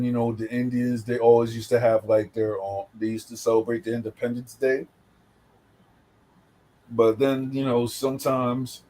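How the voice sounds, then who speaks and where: A man's voice: medium pitch, mellow, rough recording. Casual rambling man from the Bronx. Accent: New York. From New York. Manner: loose, rambling storytelling with many fillers. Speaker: host. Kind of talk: casual chat.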